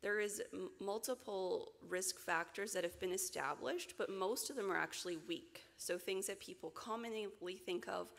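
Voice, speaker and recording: A woman in her forties with a raspy voice today, giving a measured conference lecture from a podium with frequent ums and restarts. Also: medium pitch. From Canada. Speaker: physician lecturer. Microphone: podium microphone. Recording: reverberant hall, from camera.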